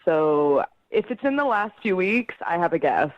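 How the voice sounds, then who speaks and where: A young woman talks candidly on the phone to a radio show, her pitch swinging in a lively way. She is casual and conversational. The call sounds slightly muffled and dry. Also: moderate pace, dry recording. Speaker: person called by radio show.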